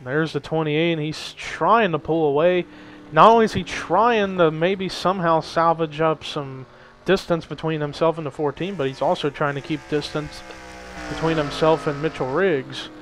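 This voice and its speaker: A man's voice: a little high, normal speed, a bit bright. A young man giving casual play-by-play commentary on a simulated race, chatty and lifting his energy at the big moments. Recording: faint background noise.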